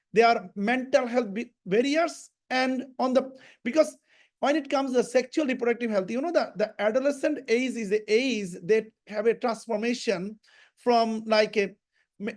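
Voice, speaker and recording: Male academic, hesitant and halting, panel guest. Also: very high-pitched. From Bangladesh. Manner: halting, with frequent restarts and fillers. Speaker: professor and health researcher. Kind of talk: panel discussion.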